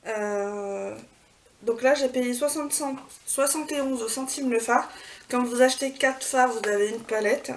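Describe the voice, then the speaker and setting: A woman's voice: a little high, measured pace. A woman vlogging a casual beauty haul, chatty, with many fillers and self-corrections. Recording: far from the mic, clear background noise.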